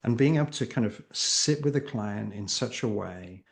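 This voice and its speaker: A man with a creaky voice speaking as an interview guest and coach, explaining emphatically with rhetorical questions and acted-out examples. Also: fairly low pitch, lively intonation, moderate pace. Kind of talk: animated interview conversation, explaining.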